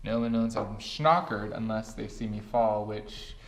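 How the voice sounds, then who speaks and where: A man acting a boozy, sardonic, overworked graduate teacher in a comedy web series, speaking in dry, wordy deadpan. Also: fairly low pitch, measured pace. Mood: sardonic, weary.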